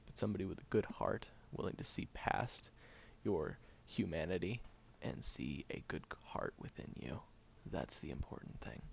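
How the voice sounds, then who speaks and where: A man playing a brash, defensive young man with a secretly caring side in an audio roleplay, speaking bluntly and haltingly in a low, gravelly voice. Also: expressive, heavily acted. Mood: earnest, reassuring. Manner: blunt, grumbling, sighing, halting delivery. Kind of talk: audio roleplay acting, one-sided dialogue addressed to the listener. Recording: dull home recording with faint noise.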